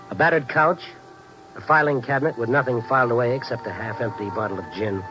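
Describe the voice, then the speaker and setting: A man's voice: medium pitch, natural voice, rough recording. An actor playing a world-weary, compassionate American newspaper reporter who narrates his own story in 1950s radio noir style. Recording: vintage 1950s radio recording with music behind. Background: dramatic underscoring music.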